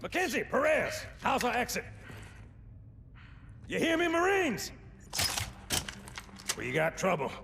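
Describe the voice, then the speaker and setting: A tough marine sergeant in a video game. The gruff voice is pushed very high with creaky edges and lively swings, amid clear noise. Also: male voice, takes it slowly, long pauses, exaggerated voice. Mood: urgent. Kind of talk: video game cutscene acting.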